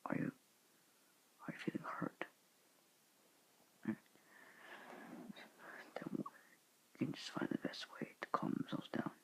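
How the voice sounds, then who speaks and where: A teenage boy talking to his viewers in a soft, hushed, halting whisper, earnest and sorrowful in tone. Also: poor audio. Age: teens. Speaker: student vlogger. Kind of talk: whispered somber address to viewers.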